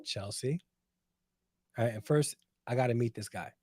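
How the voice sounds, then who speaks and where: Man, forties, middle pitch, playful teasing tone, casual livestream host, dry close sound. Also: moderate pace, a little mellow, slightly rough, no echo, faint music behind. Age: 40s. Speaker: host reading live chat.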